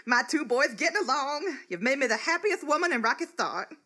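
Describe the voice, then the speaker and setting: A woman's voice: sits high, sing-song, fairly quick, bright, forceful tone, full character voice. A woman playing a sweet, doting small-town wife, gushing and prim, in a live comic radio play. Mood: sweet, pleased.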